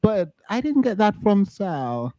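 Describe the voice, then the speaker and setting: A man's voice: sits high, sing-song, measured pace, mellow, dry recording. A man hosting a casual chat, rambling with fillers and reading viewer comments. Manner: rambling with many fillers, laughs easily. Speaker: podcast host reading comments.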